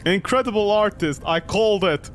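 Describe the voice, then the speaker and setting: A man with a fairly high voice streams a game and comments as he goes. He is sarcastic and quick to nitpick. A low rumbling noise sits behind him. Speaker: streamer playing and commenting on a horror visual novel. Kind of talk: gameplay commentary mixed with reading dialogue aloud.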